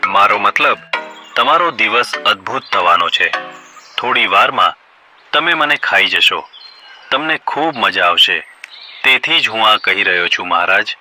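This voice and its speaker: A man's voice: on the low side, animated, unhurried, long pauses, sharp tone, soft background music, exaggerated voice. A man voicing a clever, polite donkey who speaks deferentially and coaxingly in a children's cartoon story. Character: clever, polite male donkey pleading with a lion king. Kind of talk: animated children's story voice acting.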